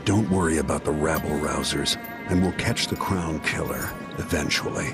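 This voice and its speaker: Very deep-voiced, terse protector. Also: male voice, moderate pace, over music. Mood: grave, reassuring. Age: middle-aged. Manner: terse, grave, restrained. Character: weary, protective father and royal bodyguard. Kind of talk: dramatic game acting.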